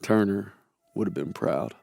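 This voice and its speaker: A weary young American soldier narrates in an earnest, somber way. His voice is lowish with a gravelly creak, over faint music, like a war game cutscene. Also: measured pace, darkish tone. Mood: somber, reflective.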